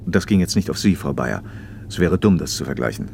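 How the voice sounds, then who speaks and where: A firm, earnest East German factory director in a radio drama. His voice is very low and he reasons in measured sentences, with faint noise behind him. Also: big pitch swings, gravelly, a little mellow, full character voice. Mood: earnest, probing.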